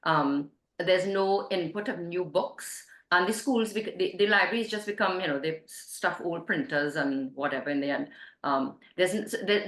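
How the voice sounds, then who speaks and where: Woman guest, middle-aged, low-pitched, halting and sincere, roomy recording. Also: a bit bright. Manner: hesitant, many fillers and restarts, earnest. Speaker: literacy nonprofit co-founder, guest. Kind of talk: interview answer.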